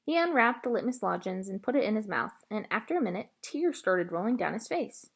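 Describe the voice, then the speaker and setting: A woman reads a children's novel aloud as narrator. Her delivery is expressive, with lively movement in pitch over a middle register. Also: a little fast.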